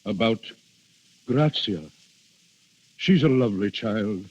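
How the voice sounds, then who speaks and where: A man's voice: on the low side, lively intonation, takes it slowly, slightly rough, exaggerated voice. An actor playing a worried, fatherly duke, hesitant and respectful, in a 1930s radio drama. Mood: worried, deferential. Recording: muffled 1930s radio recording.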